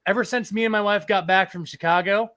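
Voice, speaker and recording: A man with a fairly high voice hosts a relaxed live stream, his throat a touch scratchy from a cold. He rambles easily and stops to greet viewers from the chat. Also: quick pace. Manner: chatty, rambling, reads chat aloud. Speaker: livestream host.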